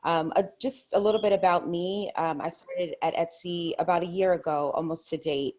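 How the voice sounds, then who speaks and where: A woman's voice: lowish voice, steady tone, moderate pace, a little mellow. A woman introducing herself as a webinar panelist. She sounds friendly and a little self-deprecating. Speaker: panelist, diversity lead.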